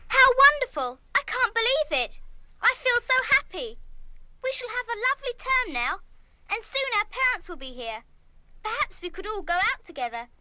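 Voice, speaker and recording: A woman's voice: very high voice, very animated, moderate pace, noisy background. A woman playing an eager, affectionate young English schoolgirl in an audio drama. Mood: excited, delighted. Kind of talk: full-cast audio drama acting.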